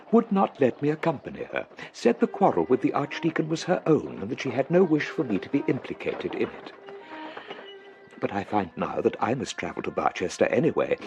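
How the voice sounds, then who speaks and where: Sad gentle old english vicar, earnest, super lilting voice, faint music under it, radio play. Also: male voice, mid-range voice, lo-fi sound. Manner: wistful, confiding, earnest. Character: gentle, sorrowful, kindly older clergyman. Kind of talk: radio drama monologue.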